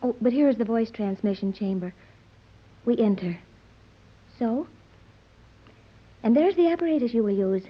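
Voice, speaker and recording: Actress as formal, innocent high-voiced young woman. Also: normal speed, pauses often, natural voice. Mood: grave, instructive. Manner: precise, formal, stilted diction. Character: innocent, literal-minded young island-raised woman. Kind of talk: 1930s radio serial acting. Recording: muffled, worn 1930s radio recording.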